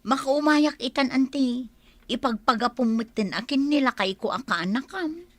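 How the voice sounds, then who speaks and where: A woman's voice: high-pitched, moderate pace, fairly bright tone, dry recording. A woman acting as a defensive, excuse-making village mother in an Ilocano comedy radio drama, whining and arguing in an Ilocano accent. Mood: pleading.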